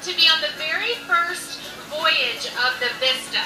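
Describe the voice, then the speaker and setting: Woman TV host, 30s, high lively voice, playful. Handheld mic, echoey ship recorded from afar. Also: measured pace. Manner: playful, gushing, laughs a lot. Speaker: TV travel reporter. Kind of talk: bubbly on-location TV segment. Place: aboard a cruise ship, indoors and on deck. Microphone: handheld reporter mic. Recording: echoey, distant, music underneath.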